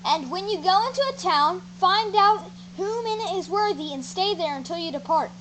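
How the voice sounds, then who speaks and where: A woman's voice: extremely high pitch, normal speed, fairly bright tone, a little hiss or hum, rough recording. A woman playing Jesus as a friendly teacher in an amateur Bible audio drama, reciting scripture with chatty asides. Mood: instructing. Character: Jesus, a male teacher, friendly and jokey with disciples.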